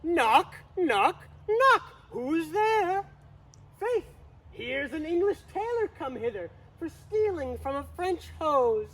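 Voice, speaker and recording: A man's voice: very high voice, sing-song, slow, a bit bright, voice pushed up, exaggerated voice. A young man playing a rambling, grumbling porter at the gates of hell in a dramatic Shakespeare reading, recorded outdoors. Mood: grumbling, weary. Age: teenager. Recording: outdoor recording with traffic noise.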